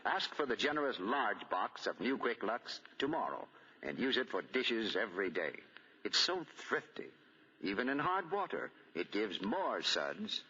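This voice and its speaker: A male 1940s radio announcer with a low, lively voice. His pitch is polished and emphatic, on a dull old broadcast recording. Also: husky. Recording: muffled 1940 radio broadcast.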